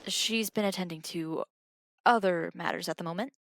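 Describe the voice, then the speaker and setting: An actress playing a dutiful royal attendant in an audio drama, formal and polite. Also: female voice, fairly low pitch, moderate pace, creaky, slight background noise. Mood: stiffly polite.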